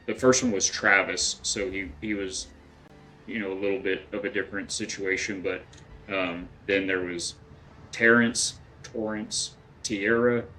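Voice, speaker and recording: A man's voice: low voice, sharp tone, a little hiss or hum, poor audio. A middle-aged man from West Texas chatting casually while he plays a game, rambling and thinking aloud with lots of fillers and restarts. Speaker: game streamer. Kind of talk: casual gameplay chat with chat readers.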